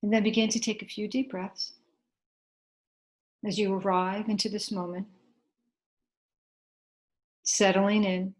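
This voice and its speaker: A woman leading a guided meditation in a gentle, unhurried way, leaving long pauses between short instructions. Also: mid-range voice, flat delivery, rough recording.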